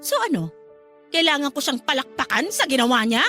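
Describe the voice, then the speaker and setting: A woman's voice: sits high, expressive, brisk, sharp tone. A Filipino woman playing a cold, unforgiving older sister and grieving mother who speaks reproachfully in a radio drama. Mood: angry grief. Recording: music under the dialogue.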